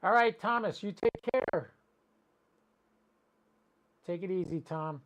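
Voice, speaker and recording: A man's voice: high voice, slow pace, lo-fi sound. A man chatting casually and rambling as he hosts an amateur radio livestream, talking directly to his viewers.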